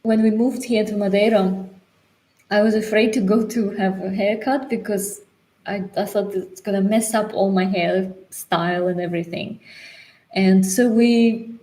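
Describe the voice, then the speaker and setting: A woman from Britain co-hosting a live travel Q&A, chatty and quick to laugh, often repeating words as she talks. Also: mid-range voice, steady tone, warm tone, rough recording. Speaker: co-host of a travel Q&A. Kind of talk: casual live chat.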